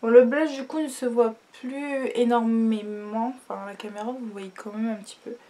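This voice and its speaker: A young woman with a slightly high voice, congested from a cold, talks through a makeup routine at home. She chats casually, fills gaps with hesitations and lets her sentences fade off quietly. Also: steady tone, moderate pace. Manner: chatty, many fillers, trails off quietly. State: stuffy nose from a cold. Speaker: beauty vlogger. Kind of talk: casual makeup tutorial chat.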